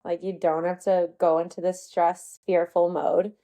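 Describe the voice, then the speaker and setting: A woman's voice: lowish voice, level tone, measured pace, warm tone. A woman hosting a podcast interview, casual and supportive, asking follow-up questions. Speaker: podcast host.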